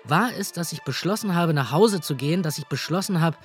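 A man's voice: fairly high pitch, lively intonation, fairly quick, faint music behind, natural voice. A young actor playing an anxious young man in a radio drama, narrating in terse lines and pleading in scenes. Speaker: first-person narrator.

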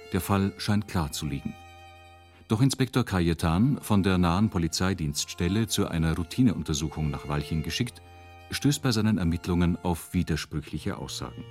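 A man with a very deep voice narrates a radio drama. His delivery is sober and measured, with faint violin music behind it. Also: darkish tone.

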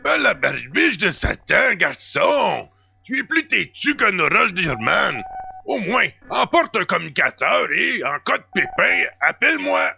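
A man playing a crusty, colorful old rogue in a science-fiction audio drama, gruff and exclamatory, with a gravelly, raspy voice. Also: high-pitched, very animated, a little slow, highs cut off, heavily acted. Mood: gruff, exasperated. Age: older. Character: crusty, colorful old male rogue, agent's loyal sidekick.

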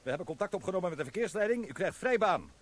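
A brisk police inspector. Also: male voice, high voice, very animated. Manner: brisk and businesslike. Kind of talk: radio drama acting.

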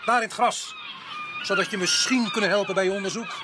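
An actor playing a gruff, sarcastic police sergeant in a Dutch radio drama, with a dry, teasing manner. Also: male voice, sits high, brisk, lightly acted. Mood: sardonic, brisk. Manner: dry, sardonic, hesitating with small chuckles. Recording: noisy old radio play recording.

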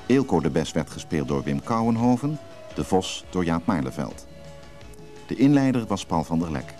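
A man's voice: low-pitched, sing-song, normal speed, warm tone, soft background music. A man reading scripted closing credits as a radio drama announcer.

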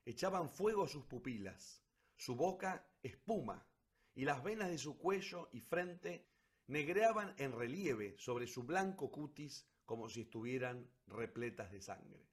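A man narrating a literary story for radio theatre in a solemn, deliberate, dramatic way. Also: mid-range voice, animated, slightly rough.

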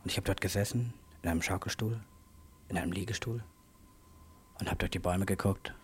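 A man's voice: low voice, fairly quick, a little hiss or hum. A man reminiscing in short, reflective phrases in a spoken-word radio monologue. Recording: loud music over the voice. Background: loud music.

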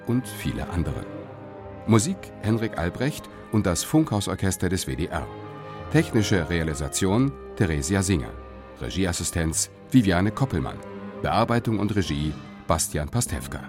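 A man formally and deliberately announcing titles and credits for a radio drama. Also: low-pitched, big pitch swings, music in the background.

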